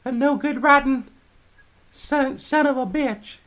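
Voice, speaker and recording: A man's voice: slow pace, a bit bright, heavily acted. A man voicing a needy, whiny young boy who keeps calling for his dad. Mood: whiny pleading. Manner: shrill pleading repeated calls.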